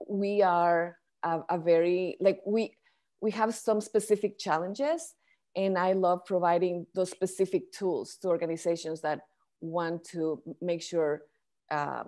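A middle-aged woman giving a halting, earnest introduction as a webinar panelist over a video call. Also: fairly low pitch, a little mellow. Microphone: earphone mic on video call.

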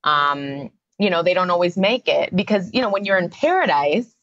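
A woman's voice: lowish voice, expressive, moderate pace, a bit bright, lo-fi sound. A woman, a psychotherapist guest on a podcast, explaining her views in a conversational way with many fillers. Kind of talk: podcast interview.

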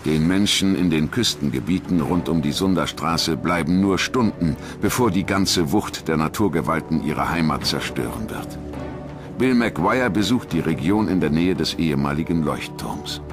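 Deep-voiced male documentary narrator. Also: lively intonation, normal speed, music in the background. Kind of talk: dramatic scripted narration. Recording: voice-over with clear background noise.

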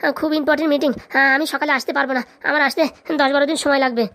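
A woman plays a young husband in a cartoon comedy. He speaks very quickly in a high voice. He is evasive and placating, forever making excuses. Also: fairly even intonation, fairly bright tone. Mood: anxious, placating. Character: evasive working son-in-law, stingy young husband. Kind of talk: comic cartoon dialogue.

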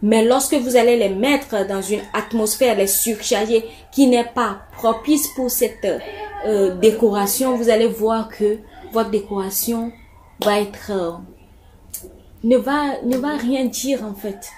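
A woman talks viewers through decorating tips in a loose, chatty way, repeating words and filling gaps with "euh". Her voice is soft and mellow. A faint hiss sits under the recording. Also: a little high, slow pace. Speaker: home decor YouTuber. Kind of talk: casual advice talk to viewers.

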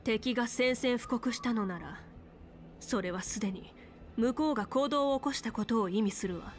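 A woman playing a composed, authoritative general in an anime game, speaking in a measured, decisive way with music behind her. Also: fairly high pitch, natural voice. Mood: firm, questioning. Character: resolute female general, commanding and composed strategist. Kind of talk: Japanese anime game voice acting.

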